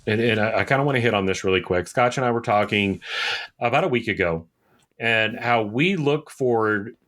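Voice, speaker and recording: A man's voice: lowish voice, moderate pace, a bit bright. A man co-hosting a podcast in casual chat, opinionated and emphatic, often repeating points for stress. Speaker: podcast co-host.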